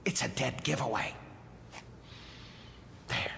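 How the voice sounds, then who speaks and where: An actor playing a secretive, disturbed male caretaker of wax figures in a radio drama, halting and evasive. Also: medium pitch, creaky, sharp tone, slight background noise, full character voice. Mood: gently scolding. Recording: music under the voice.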